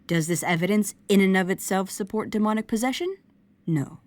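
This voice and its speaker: A woman playing a logical, formal investigator in an audio drama, talking in deliberate technical language. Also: medium pitch, darkish tone, no room sound, lightly acted. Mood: analytical. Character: analytical, formal female investigator, measured and logical.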